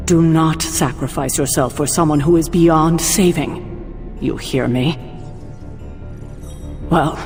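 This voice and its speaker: A woman's voice: low-pitched, a little mellow. An actress playing a stern, worried female team leader in a dramatic video game cutscene, firm and disapproving. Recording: long reverb, music behind.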